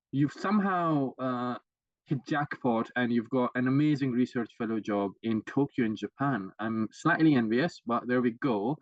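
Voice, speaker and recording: A man's voice: medium pitch, no echo. Doctor guy teaching, keeps asking the audience stuff, sounds far off and kinda muffled on a call. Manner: lively, engages audience with rhetorical questions. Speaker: doctor presenting at grand rounds. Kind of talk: interactive teaching talk. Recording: dull, distant, dry online audio.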